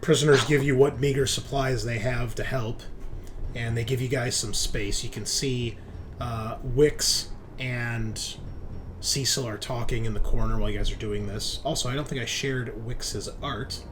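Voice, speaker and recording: A man acts as game master at a tabletop session, moving between narration and rules explanations. His medium-pitched voice is informal and peppered with swearing. Noticeable noise sits in the recording. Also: fairly even intonation, moderate pace, low-quality recording. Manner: informal, salty-tongued.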